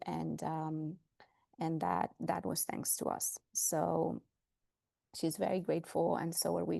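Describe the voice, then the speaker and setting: A woman's voice: lowish voice, creaky, dark tone, dry recording, clean studio sound. A woman with a Spanish accent presenting a webinar as a fertility coach, earnest and emphatic, repeating words for stress. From Spain.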